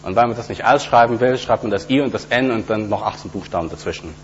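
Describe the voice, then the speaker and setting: Man giving a halting technical talk. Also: fairly low pitch. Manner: hesitant, many fillers, explanatory. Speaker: conference speaker. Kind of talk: technical talk with live demo. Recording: dull lo-fi recording with faint hum.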